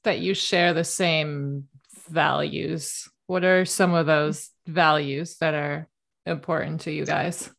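A woman's voice: low-pitched, fairly even intonation, slow, vocal fry, a bit bright. A woman hosting a podcast interview, asking questions in an encouraging, attentive way. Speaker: podcast host. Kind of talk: casual interview.

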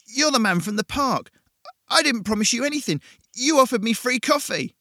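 A man voicing a cocky, excitable young man who talks loud and high. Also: no echo, studio quality, full character voice. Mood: panicked. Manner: fast, loud and high-strung.

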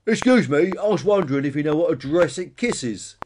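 A thick-skulled dinosaur bully from a storybook, acted out by a man, pitched up and loud. He is blunt, boastful and cocky, with faint clicks and noise on the recording. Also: lively intonation, fast talker, lightly acted. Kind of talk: children's storytelling character voice.

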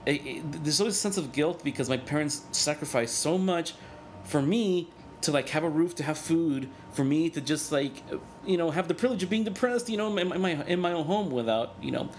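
A man in his thirties whose first language is Spanish, speaking as a guest in a candid interview, earnest and reflective, often halting and restarting. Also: fairly high pitch, lively intonation, slight background noise. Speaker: guest, cartoonist and organizer.

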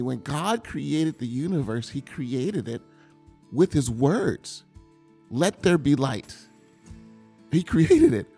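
A man giving an earnest, confessional sermon testimony, often halting and repeating words as he speaks. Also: mid-range voice, vocal fry, darkish tone, breathy edge.

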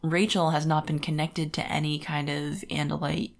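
A woman's voice: low voice, level tone, moderate pace, gravelly. A woman co-hosting a podcast in a casual book chat, often paraphrasing characters in an informal, conversational way. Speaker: podcast co-host.

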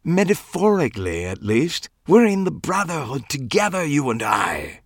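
A man voicing a foppish, theatrical, lanky gossip writer with a flamboyant, drawn-out manner, in an audiobook reading. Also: on the high side, big pitch swings, dry recording, studio quality, pitched above the performer's own voice. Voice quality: flamboyant, drawn-out, dramatic delivery.

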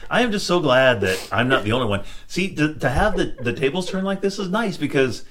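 A man's voice: on the high side, big pitch swings, brisk, fairly bright tone, slight background noise. A middle-aged man who hosts a podcast, talking in a reflective, casual way with many restarts and "you know"s. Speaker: podcast host. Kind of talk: casual conversation.

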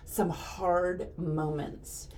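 Encouraging woman with a mellow voice. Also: fairly low pitch, level tone, extremely slow, slight background noise. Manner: earnest, encouraging, preachy. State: a little hoarse. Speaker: podcast co-host. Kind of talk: casual chat.

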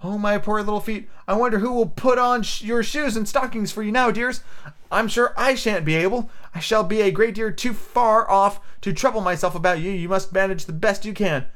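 A man's voice: sits high, normal speed, fairly bright tone, pitched above the performer's own voice. A man doing a prim, fretful little girl. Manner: prim and fretful, talking to herself.

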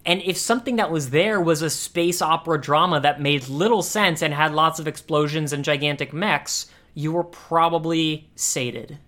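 A man's voice: a little high, moderate pace, hardly any pauses, pushed and bright. A man co-hosting a podcast in casual comedic chat, rambling and restarting his phrases as he goes. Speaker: podcast co-host.

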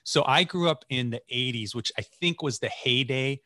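A man's voice: medium pitch, moderate pace, bright, forceful tone, no room sound, studio quality. A man in his forties hosting a podcast, chatting enthusiastically with a guest over a video call. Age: 40s. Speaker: podcast host. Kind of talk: casual interview chat.

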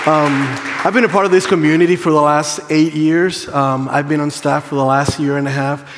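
A man's voice: on the high side, fairly even intonation, normal speed, a faint crowd. A man with a Puerto Rican Spanish accent, a campus pastor giving an enthusiastic church update with frequent ums. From Puerto Rico. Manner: warm, enthusiastic, with frequent ums and playful asides. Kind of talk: church announcement. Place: church sanctuary. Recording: long hall reverb.

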